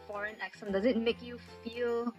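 A woman's voice: fairly high pitch, steady tone, moderate pace, darkish tone, dull audio. Young woman, Malaysian accent; candid, chatty interview answer, faint music bed. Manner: chatty, candid, many fillers and restarts. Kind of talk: recorded answer to interview questions.

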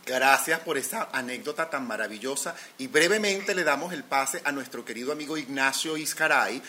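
A man's voice: mid-range voice, animated, normal speed, pushed and bright. A man hosting an online chat who politely hands over to the next guest. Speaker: host.

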